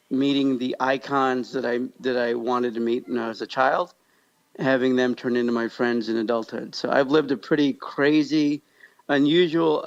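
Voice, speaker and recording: A man's voice: medium pitch, lo-fi sound. An American man co-hosting a podcast in casual conversation, telling stories in an upbeat, joking way. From Pakistan-born, grew up in Kansas, United States. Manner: upbeat, jokey storytelling. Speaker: podcast co-host.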